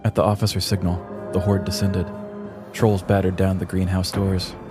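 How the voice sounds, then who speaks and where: A man's voice: deep voice, level tone, moderate pace, over music. A man hosting a podcast, reading scripted comic true-crime narration with a dramatic storybook delivery. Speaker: podcast host.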